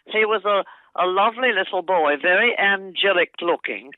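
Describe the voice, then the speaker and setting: An older Australian woman chatting and telling a rambling family story on the phone, laughing often and talking loudly. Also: medium pitch, moderate pace, no echo. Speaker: aunt recalling family stories on a phone call. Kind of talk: casual phone chat, storytelling. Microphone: telephone. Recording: tinny phone line, dry.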